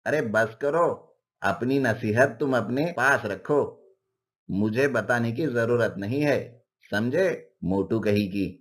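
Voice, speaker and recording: A man voicing an arrogant, haughty horse in an animated moral story. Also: mid-range voice, takes it slowly. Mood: scornful, annoyed. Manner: haughty, dismissive. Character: arrogant, scornful male horse who looks down on others.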